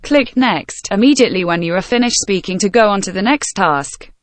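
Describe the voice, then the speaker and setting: A woman's voice: mid-range voice, expressive, fairly quick, dry recording. A woman giving scripted instructions as the narrator of an exam practice exercise.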